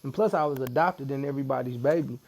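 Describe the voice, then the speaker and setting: Gravelly joking man in his forties. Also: mid-range voice, quick pace. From Missouri. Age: 40s. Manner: relaxed, joking, laughs often. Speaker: podcast guest. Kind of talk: casual conversation. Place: tattoo studio, being tattooed.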